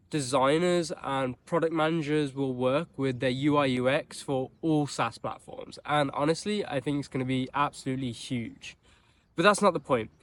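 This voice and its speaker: Chatty young man with a Birmingham accent. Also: on the high side. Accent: Birmingham English. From England. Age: young adult. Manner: chatty and upbeat, with restarts and loose asides. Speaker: vlogger and startup founder. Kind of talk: casual vlog talk to camera. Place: outdoors in a mild city.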